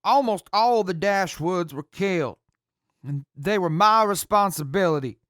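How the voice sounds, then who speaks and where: A man playing a defeated, guilt-ridden former leader in jail, halting and self-blaming, in an audio drama. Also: high voice, animated, fairly bright tone, no echo, studio quality. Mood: bitter, guilty. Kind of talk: post-apocalyptic audio drama acting.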